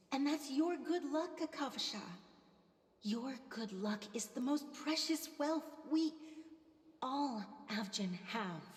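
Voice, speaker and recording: A woman voicing a protective, devout older sister in a video game, tender, solemn and pleading. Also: on the high side. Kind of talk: scripted video game voice acting. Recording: roomy sound with long reverb.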